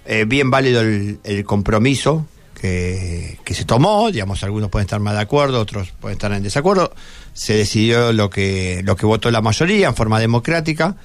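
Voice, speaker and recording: A man with an Argentine accent hosting an informal radio conversation, speaking in halting phrases and repeating words. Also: lowish voice, lively intonation. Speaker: radio host. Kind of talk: informal radio talk with students.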